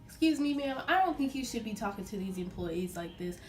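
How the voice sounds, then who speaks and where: A woman's voice: mid-range voice, lively intonation, fairly quick, soft background music, lightly acted. A young woman voicing a feisty, protective mother in a storytime vlog, bold and scolding. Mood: firm, scolding.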